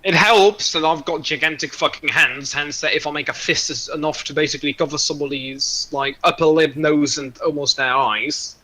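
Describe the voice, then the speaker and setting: A man's voice: fairly high pitch, moderate pace, few pauses, sharp tone, poor audio. A man chatting casually on a stream in a blunt, rambling, sweary way. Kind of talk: casual chat.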